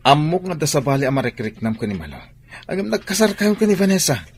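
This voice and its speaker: A man's voice: a little high, very animated, quick pace, a little hiss or hum. A man with an Ilocano accent playing a godfather who lectures a younger man in a radio drama. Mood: scolding. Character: older man, godfather giving advice.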